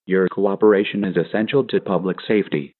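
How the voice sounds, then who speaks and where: Tinny, low-pitched male emergency alert announcer. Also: steady tone, fairly quick, dry recording. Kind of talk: scripted emergency broadcast announcement. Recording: narrow tinny phone-like radio sound.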